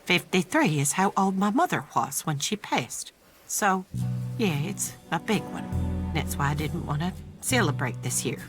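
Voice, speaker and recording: A woman voicing a guarded, wounded middle-aged mother in an animated sitcom, with a blunt, folksy, exasperated manner. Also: lowish voice, measured pace, soft background music. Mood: hurt, firm.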